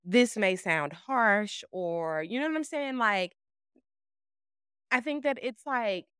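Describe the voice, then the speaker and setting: A woman's voice: mid-range voice, lively intonation, takes it slowly, a bit bright, clean tone, dry recording, studio quality. A woman co-hosting a podcast, talking candidly about work and acting out remembered exchanges. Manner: candid, storytelling with quoted dialogue. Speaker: podcast co-host. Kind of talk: casual conversation.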